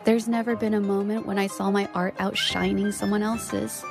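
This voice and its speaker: A woman's voice: medium pitch, flat delivery, normal speed, vocal fry, music in the background, natural voice. A woman voicing a shy, earnest, self-doubting young woman painter in a video game, hesitant and apologetic. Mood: wistful, sincere. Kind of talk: dramatic game voice acting.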